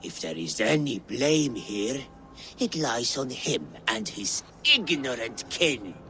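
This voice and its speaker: An actor playing a proud, bitter outcast warlock in a video game, measured and disdainful. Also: male voice, medium pitch, animated, pushed and bright, a little hiss or hum. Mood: bitter defence. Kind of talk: video game character acting.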